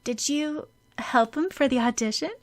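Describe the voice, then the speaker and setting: A woman's voice: sits high, moderate pace, voice pushed up, natural voice. A woman voicing a sweet, earnest, admiring schoolgirl in an audiobook. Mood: curious, teasing. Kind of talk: audiobook character voice.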